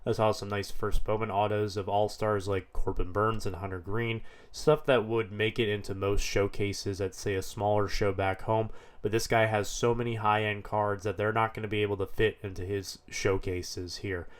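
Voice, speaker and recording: A man with a head cold, slightly hoarse, narrating a vlog in a relaxed, matter-of-fact way. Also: fairly low pitch, normal speed, few pauses. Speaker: card dealer vlogger.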